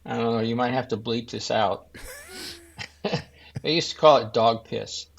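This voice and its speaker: A man's voice: medium pitch, unhurried, vocal fry, lo-fi sound. A man who is a podcast guest, talking casually and folksily, with many restarts as he explains. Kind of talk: casual chat.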